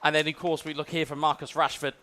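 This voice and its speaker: A man's voice: fairly high pitch, flat delivery, quick pace, pushed and bright. A British man giving fluent, analytical play-by-play commentary on a live esports match. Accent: British English. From United Kingdom. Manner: fluent, analytical broadcaster delivery.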